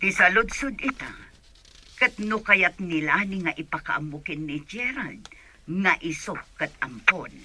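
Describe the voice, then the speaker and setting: Woman acting an expressive middle-aged village mother. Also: fairly low pitch, measured pace, husky, heavily acted. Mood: thoughtful. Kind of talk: Ilocano radio drama acting.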